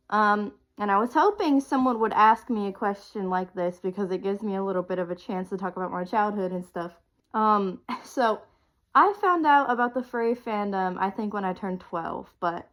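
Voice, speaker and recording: A woman in her twenties getting over a cold, sniffly, chatting casually and rambling with ums and small laughs as a video creator. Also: mid-range voice. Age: 20s. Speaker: content creator.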